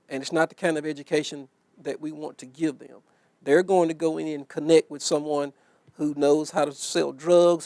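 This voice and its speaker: A man's voice: a little high, gravelly, darkish tone, no room sound. A man in a serious documentary interview who builds emphasis with repeated phrasing.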